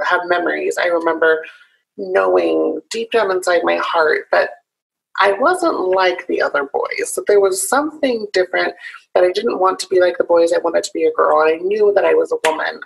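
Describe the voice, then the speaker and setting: A young woman from South Carolina, raised in Illinois, speaking as an interview guest over a video call. She talks earnestly and openly, often pausing with ums. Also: fairly low pitch, vocal fry, breathy edge, poor audio. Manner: earnest and open, with frequent ums. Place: small home room.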